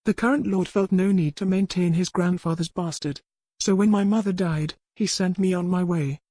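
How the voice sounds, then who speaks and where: A woman's voice: fairly low pitch, normal speed, dark tone, no room sound, natural voice. A woman voicing a wronged, defiant old healer woman in an audiobook historical tale.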